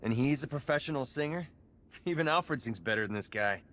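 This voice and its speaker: An actor playing a cocky, wisecracking young male sidekick in a video game, brisk and sarcastic. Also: medium pitch, lively intonation, fairly bright tone, a little hiss or hum. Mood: dry amusement. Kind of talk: video game cutscene acting.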